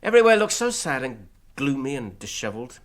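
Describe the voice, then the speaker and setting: An actor playing a gentle, wistful hobbit gentleman in a radio fantasy drama, polite and reflective. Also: medium pitch, very animated, brisk, lightly acted.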